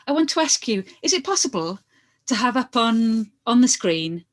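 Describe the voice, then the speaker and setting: A woman reading the part of an inquiry lawyer, putting formal questions in a measured, methodical way. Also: on the high side, big pitch swings. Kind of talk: verbatim reading of hearing questions.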